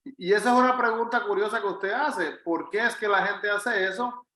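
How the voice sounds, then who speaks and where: A man's voice: sits high, normal speed, sharp tone, echoey room. A man with a Puerto Rican Spanish accent giving a conversational Bible teaching as a pastor, earnest and emphatic, repeating phrases for weight. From Puerto Rico. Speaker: pastor leading a Bible study.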